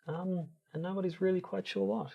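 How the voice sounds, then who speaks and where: A man co-hosting a podcast, explaining from notes in a hesitant, halting way as he searches for words. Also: sits high, steady tone, gravelly, muffled recording. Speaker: podcast co-host.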